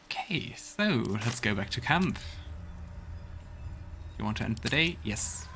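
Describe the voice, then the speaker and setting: A man's voice: mid-range voice, sing-song, takes it slowly, creaky, background noise. A man hosting a let's play with casual gameplay commentary, musing and thinking aloud. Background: game music.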